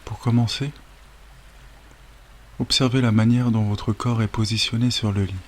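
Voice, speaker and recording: A man guiding a sleep meditation as a yoga nidra teacher, speaking calmly and soothingly with long, unhurried pauses. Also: medium pitch. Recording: steady wave noise over the voice. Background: sound of ocean waves.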